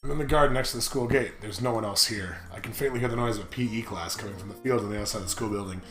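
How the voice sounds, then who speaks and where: A sarcastic host joking around and reading a visual novel aloud, animated and often breaking into shouts or laughter. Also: mid-range voice, racing. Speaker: host of a comedic game playthrough. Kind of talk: comedic banter and mock-dramatic reading of a visual novel. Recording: roomy sound with music behind.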